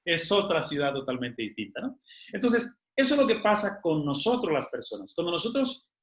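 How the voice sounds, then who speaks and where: Animated man in echoey room. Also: a little high, normal speed. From Spain. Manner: animated, rhetorical questions, frequent fillers. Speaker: network marketing team leader. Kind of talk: motivational leadership talk to a group.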